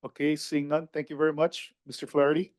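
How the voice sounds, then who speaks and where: A man chairing a public hearing, briskly calling for questions and thanking a speaker. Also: fairly high pitch, little pitch movement, darkish tone, no room sound, clean studio sound. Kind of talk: procedural meeting chairing.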